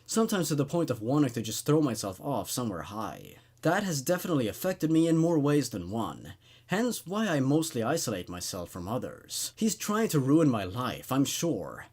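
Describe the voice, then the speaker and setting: A man hosting a horror story reading, narrating in an expressive, conversational, laid-back way. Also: medium pitch, normal speed, slightly rough. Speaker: host reading horror stories aloud.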